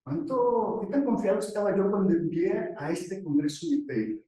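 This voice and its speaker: Man, academic presenter, higher-pitched voice, explanatory delivery, rhetorical questions, very echoey room, distant microphone. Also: expressive, moderate pace, mellow. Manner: explanatory, asks rhetorical questions and answers them. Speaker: academic economist presenting a paper. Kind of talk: conference presentation. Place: echoey conference session room.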